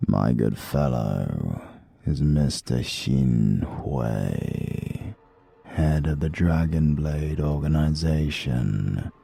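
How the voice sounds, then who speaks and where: A man with a British accent voicing a soft-spoken, coldly polite assassin who talks slowly. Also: a bass voice, vocal fry, slightly rough, exaggerated voice.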